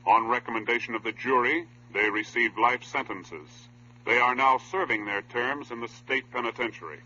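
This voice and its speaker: A man with an American accent announcing in a formal, crisp, authoritative 1950s radio style. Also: medium pitch, moderate pace, background noise. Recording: muffled old radio broadcast recording.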